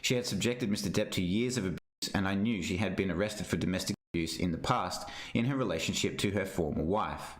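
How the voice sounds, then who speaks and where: A man with a fairly low voice reads a formal document aloud. His delivery is measured and scripted, and the recording has a clearly roomy echo around the voice. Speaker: reader of a legal document. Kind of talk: formal read-aloud narration of a witness statement. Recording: roomy, echoing sound.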